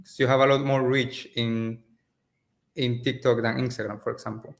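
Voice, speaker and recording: A man from Spain, a native Spanish speaker in his late twenties, talking relaxed and casual as a guest on a video call, with loose phrasing and restarts. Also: medium pitch, normal speed, vocal fry. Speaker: agency owner, guest. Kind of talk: casual interview over a call. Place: rented office in Mexico.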